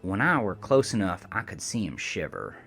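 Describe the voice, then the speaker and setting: A man's voice: low-pitched, huge pitch swings, moderate pace, creaky, a bit bright, faint music behind, exaggerated voice. A man playing a cranky, aging rural American trucker, telling a first-person horror story in a folksy, profane, rambling way. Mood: tense, haunted. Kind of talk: first-person horror audio drama narration.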